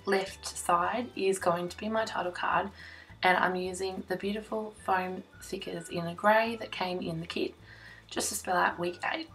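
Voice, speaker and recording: A young woman talks through her scrapbook page in a chatty, self-conscious way, full of little ums. Her voice is croaky, still rough from laryngitis. Soft music plays faintly underneath. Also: lowish voice, low-quality recording. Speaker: scrapbooking vlogger. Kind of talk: casual crafting voiceover. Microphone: voice-over recorded separately from the filmed table.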